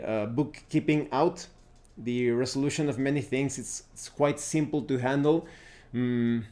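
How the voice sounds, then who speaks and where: A man with a soft, mellow voice at a medium pitch talks through a casual review as its host. He explains things informally, pausing to search for words and correcting himself as he goes. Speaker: tabletop game review host. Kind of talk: casual spoken review with recommendations.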